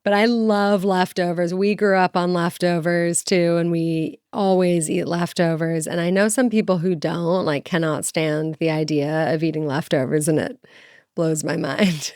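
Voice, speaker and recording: An enthusiastic woman co-hosts a chatty podcast. Her voice is low-pitched and smooth, recorded dry and close. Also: fairly even intonation, measured pace, a little mellow, dry recording. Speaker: podcast co-host. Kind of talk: casual interview.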